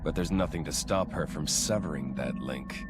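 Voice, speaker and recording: Game villain: cynical and aloof, very low voice, dry delivery over clear music. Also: male voice, moderate pace. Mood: cool, dismissive. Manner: dry, matter-of-fact. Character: cynical, aloof male villain explaining secrets coolly. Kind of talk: video game cutscene acting.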